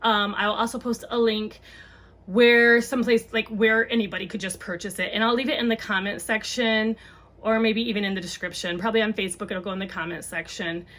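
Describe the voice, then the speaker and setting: A woman's voice: mid-range voice, fairly even intonation, brisk, a bit bright. A woman reading a children's book aloud and chatting casually to parents, explaining things simply.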